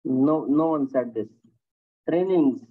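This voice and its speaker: A man's voice: mid-range voice, slow pace, husky. A man teaching a workplace safety course on a video call, encouraging students and repeating their answers, with frequent "okay" and "very nice". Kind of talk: online lecture with class questions. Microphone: computer mic on a video call. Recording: tinny phone-like call audio.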